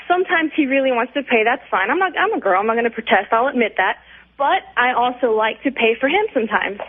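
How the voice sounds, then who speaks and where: A woman calling a radio show over the telephone, chatting candidly in an emphatic, rambling way with strong opinions. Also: high voice. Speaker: radio call-in listener. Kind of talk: casual, candid chat. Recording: tinny, narrow phone line.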